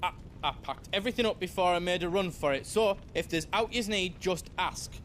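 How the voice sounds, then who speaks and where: A timid, loyal young merchant in a fantasy game. The voice sits very high for a male and is earnest and colloquial, with a Northern English accent. A faint rumble runs underneath. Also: fairly quick, fairly bright tone. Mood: anxious, loyal. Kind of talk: dramatic video game cutscene acting.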